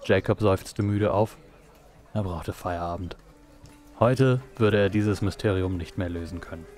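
A man's voice: deep voice, measured pace, darkish tone. A man reading scripted narration for an audio drama. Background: music.

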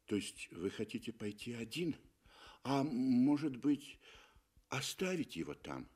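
A frightened rich man on safari, acted out by a male radio narrator. He speaks slowly and haltingly, uneasy and questioning, in a radio drama. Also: medium pitch, sing-song, a little mellow, slightly rough.

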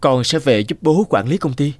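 A man voicing an earnest young man in an animated moral tale, polite and hesitant in manner. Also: on the high side, normal speed, dry recording, studio quality. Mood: troubled. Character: earnest young man, hesitant heir hiding his wealth.

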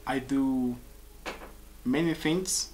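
A man's voice: medium pitch, darkish tone. Peruvian guy in his 20s, composer, keeps restarting sentences, small office, bit of hiss. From Peru. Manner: searching for words, halting restarts. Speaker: composer and musician. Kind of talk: casual interview answers. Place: small office with pictures on walls.

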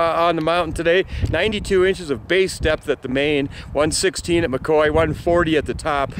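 Older american guy in his 60s doing a rambly weather update outdoors, voice a bit high, little bit of outside noise. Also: a bit bright. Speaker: host of a local weather and outdoor report. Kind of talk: casual news and weather report to camera. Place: outdoors in an open meadow by trees.

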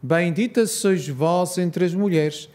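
A man with a European Portuguese accent leading a rosary, reciting solemn prayers in a measured, reverent way. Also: high-pitched, darkish tone.

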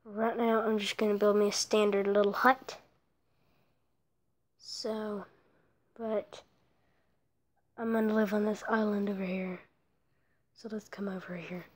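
A woman giving casual commentary over her own mobile block-building gameplay, rambling and often dropping into soft whispered asides, recorded on her phone. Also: mid-range voice, flat delivery, measured pace, pauses often. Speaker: let's play creator.

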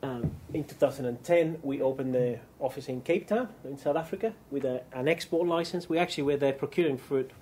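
A man's voice: medium pitch, brisk, few pauses, a little mellow. Chilean guy presenting to a room, lots of ums, faint hum behind. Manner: hesitant, many ums and restarts. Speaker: keynote speaker. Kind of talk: business presentation.

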